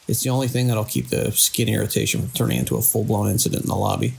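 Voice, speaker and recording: An actor playing a controlling male hospital director in an audio drama, brisk and dismissively reassuring as a crisis grows. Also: on the low side, steady tone, gravelly, darkish tone, a little hiss or hum. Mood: businesslike, reassuring.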